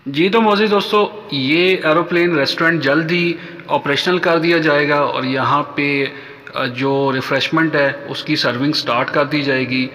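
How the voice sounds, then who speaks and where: A man's voice: a little high, steady tone, pushed and bright, a little hiss or hum. Pakistani man narrating, very echoey recording. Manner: halting, polite, addresses viewers formally. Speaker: real estate vlogger and host. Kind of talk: informal site tour narration.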